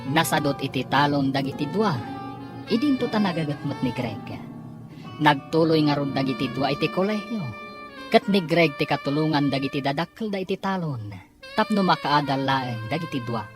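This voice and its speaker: Woman, Ilocano accent, radio drama announcer, low pitch, scripted narration, music bed. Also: expressive, normal speed. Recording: music underneath.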